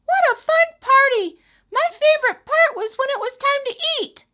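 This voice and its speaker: Casting: cheerful little childlike creature for a kids' show, squeaky high, bright delivery. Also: female voice, expressive, moderate pace, soft background music, full character voice. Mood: cheerful, eager. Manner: simple, eager childlike talk. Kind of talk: children's story show character voice.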